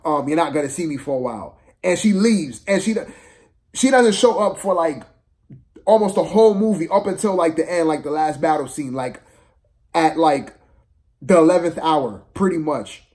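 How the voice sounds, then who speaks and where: A man's voice: a little high, expressive, moderate pace, darkish tone. A man giving a casual, opinionated movie review, exasperated and slangy, repeating phrases for emphasis.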